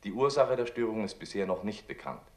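A man playing a formal, impersonal radio newsreader in a drama, delivering a bulletin in a measured, official way. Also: fairly low pitch, very animated, darkish tone, echoey room.

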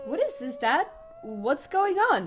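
A woman acts out a young daughter in an audio drama. Her high voice swings widely in pitch and comes slowly, concerned and puzzled as she questions her family. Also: lively intonation, full character voice. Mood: bewildered. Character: bewildered, concerned daughter just arriving home. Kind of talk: stage-style radio play acting.

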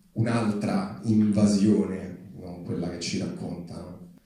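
A man's voice: lowish voice, mellow. A man speaking and reading aloud to the public at a live event. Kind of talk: public reading and impassioned activist speech. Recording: echoey hall, recorded from far off.

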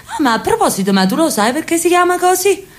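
An aging sister from Naples, fond and stubborn, coaxing her brother in a radio play. Her voice sits fairly high for a woman, with a lilt and warm insistence. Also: big pitch swings, quick pace. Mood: lively. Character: elderly Neapolitan sister, insistent and affectionate. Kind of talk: radio drama acting.

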